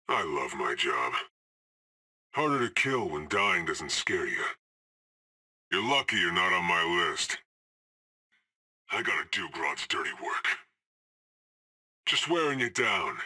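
A man's voice: deep voice, pushed and bright, husky, exaggerated voice. An actor playing a cocky, cool male hired assassin in a video game, trading dry, sardonic one-liners.

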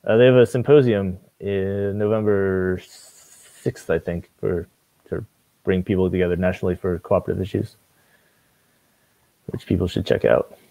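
Young male candidate from Maine, deep dark voice, unhurried, lots of ums, webcam in a home study. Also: gravelly, no room sound, rough recording. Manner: relaxed, hesitant with frequent fillers. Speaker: city council candidate. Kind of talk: casual video call interview. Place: home room with bookshelves. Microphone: video call webcam.

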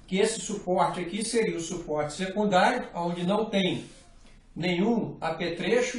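A man's voice: high-pitched, distant mic. A middle-aged man from Brazil teaching fly rod building in a patient, methodical way, often checking in with the viewer as he works. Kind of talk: hands-on workshop tutorial. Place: small indoor workshop room. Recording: echoey room, voice off-mic.

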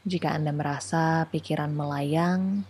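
Lady doing guided meditation, soft mellow breathy voice, super slow and soothing. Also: low voice, steady tone. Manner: soothing, unhurried, gently paced phrases with pauses.

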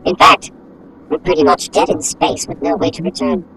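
A performer playing a glum, literal-minded droid sidekick, deadpan and pessimistic, in an audio drama skit. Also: mid-range voice, normal speed, gravelly, a little hiss or hum, rough recording.

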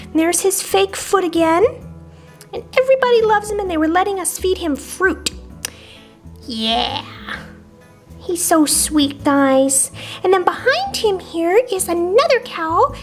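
Squeaky little lamb girl. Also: lively intonation, unhurried, soft background music. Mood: sweet, excited. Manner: chirpy, childlike, giggly. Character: cute excitable little lamb girl plush toy. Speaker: vlog host. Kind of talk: voice-over narration of a puppet travel vlog.

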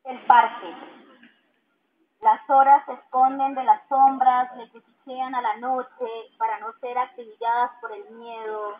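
A woman's voice: a little high, flat delivery, measured pace. A woman reciting poetry as a reader at a public event in an outdoor park. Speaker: poet reading in public. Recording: tinny band-limited megaphone, noisy outdoor ambience.

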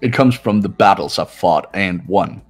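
A man acts out a haughty young male heir from a video game. His voice is low, and he talks down to everyone with measured, pompous superiority. Music plays clearly behind him. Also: animated. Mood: pompous, self-satisfied. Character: arrogant, condescending wealthy young male heir. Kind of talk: visual novel game voice-over.

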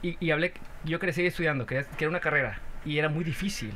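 Animated Mexican man, bright voice. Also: a little high, very fast, a little hiss or hum. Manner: animated, teasing, laughs often. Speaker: band member guest. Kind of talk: casual studio podcast chat. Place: podcast studio. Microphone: studio mic on a desk arm.

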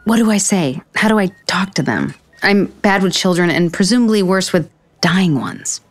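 A woman's voice: fairly low pitch, expressive, normal speed, faint music behind. An actress playing a blunt, sarcastic, self-deprecating woman writer in a naturalistic romantic comedy audio drama. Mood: awkward.

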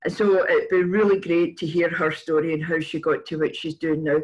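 An older woman hosting a casual video-call interview, with a halting delivery that repeats words as she goes. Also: low-pitched, steady tone, muffled recording. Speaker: interview host. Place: indoors in a room at home, on a video call. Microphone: computer microphone on a video call.

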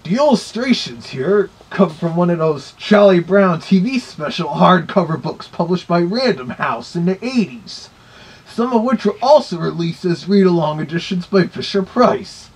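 A man's voice: high-pitched, lively intonation, normal speed, slightly rough, poor audio. A man giving casual review commentary in a small bedroom, picked up by a camera mic.

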